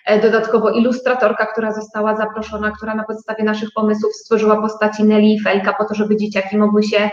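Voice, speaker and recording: A woman's voice: mid-range voice, completely flat delivery, fast, few pauses. A woman speaking as an interview guest, explaining her own project. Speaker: guest, start-up co-owner. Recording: roomy, slightly dull sound.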